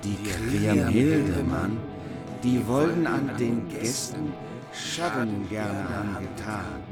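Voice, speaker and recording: A man reciting Middle High German verse in a rhythmic, chanting manner. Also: lively intonation, slow. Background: loud music.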